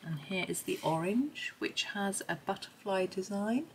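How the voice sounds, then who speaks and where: A middle-aged woman with a slightly croaky voice, chatting casually and thinking aloud as she demonstrates something on camera. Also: mid-range voice, lively intonation, a little slow, a little hiss or hum. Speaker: host of a colouring supplies review. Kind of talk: casual chat while demonstrating.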